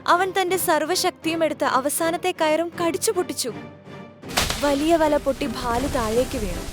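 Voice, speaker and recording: High-pitched woman narrating over music. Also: normal speed. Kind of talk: scripted children's storytelling. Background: clear background music.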